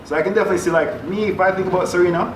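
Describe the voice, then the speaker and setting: A man from Jamaica, speaking in a casual group discussion, animated and joking. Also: high voice, racing. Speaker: panelist, writer. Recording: echoey room, distant mic, noise.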